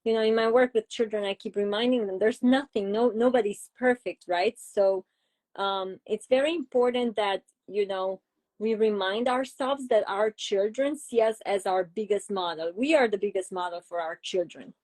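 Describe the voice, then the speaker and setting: A woman's voice: mid-range voice, moderate pace, a little mellow. A middle-aged woman from Romania with a Romanian accent, a child psychologist being interviewed, explaining ideas through examples with frequent fillers. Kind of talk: interview conversation.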